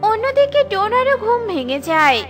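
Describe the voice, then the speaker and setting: Bright, high-voiced woman narrator. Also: big pitch swings, normal speed. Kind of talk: scripted storybook narration. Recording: with light background music.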